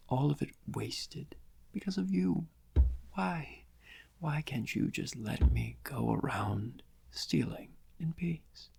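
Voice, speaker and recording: Guy doing a smug supervillain asmr thing, super breathy n croaky, real slow dramatic gloating, vain criminal mastermind. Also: medium pitch, a little mellow, heavily acted. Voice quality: breathy, whispery, gravelly. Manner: slow, drawn-out, melodramatic, savouring each phrase with long pauses. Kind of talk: soft-spoken ASMR villain roleplay.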